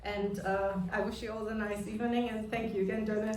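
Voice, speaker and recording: A woman's voice: mid-range voice, flat delivery, brisk, heavy background noise. German-accented woman speaking in an echoey hall. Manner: earnest, with hesitant fillers. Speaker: award speaker. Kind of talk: speech at an awards evening. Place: large echoey function room. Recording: echoey room, distant mic, music behind.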